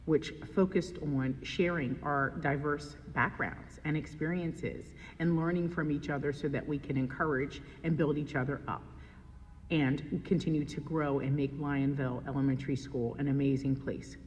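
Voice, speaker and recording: A man's voice: on the high side, fairly even intonation, normal speed, a little hiss or hum. Earnest man reading a tribute, hall echo. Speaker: school staff member giving a tribute. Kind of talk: read tribute. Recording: long reverb in a hall.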